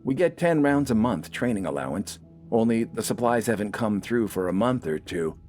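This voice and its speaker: A man's voice: on the low side, big pitch swings, moderate pace, darkish tone, faint music behind, pitched above the performer's own voice. A man voicing a nervous, humble young farmhand conscript in an audio story, hesitant and stammering. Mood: anxious.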